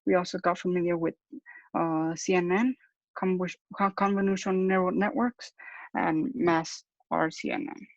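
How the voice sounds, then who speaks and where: A man's voice: high-pitched, little pitch movement, normal speed, creaky, no echo. A young man explaining a project as a student presenter, on dull lo-fi audio. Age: young adult.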